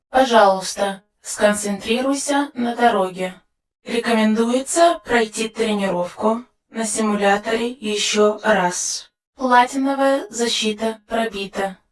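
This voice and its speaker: A woman voicing a calm computer warning system in a video game, making measured, impersonal announcements that echo from a distance. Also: a little high, lightly acted. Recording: echoey, distant announcement sound.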